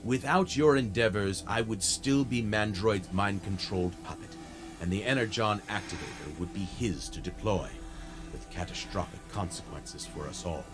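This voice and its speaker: Stately low-voiced robot leader, played by a man. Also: normal speed, music in the background, lightly acted. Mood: solemn, grateful. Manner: formal, stately oratory. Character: noble, grateful male robot leader, grave and formal. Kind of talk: video game cutscene acting.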